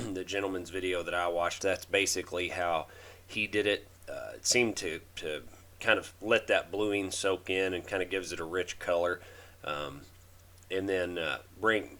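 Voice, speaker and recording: A deep-voiced man in his thirties talks over his own workshop footage. His voice is rough and hoarse from a cold, and he stumbles, restarts and fills pauses as he explains his work. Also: a bit bright. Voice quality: raspy. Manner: halting, many fillers and restarts. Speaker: DIY channel host. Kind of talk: casual voice-over narration of a restoration video.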